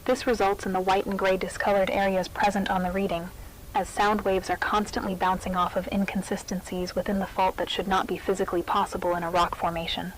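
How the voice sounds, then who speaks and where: A woman's voice: medium pitch, level tone, fairly quick, natural voice. A woman playing a military institute narrator, reading a scripted confidential briefing in a formal, clinical manner. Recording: faintly noisy briefing tape.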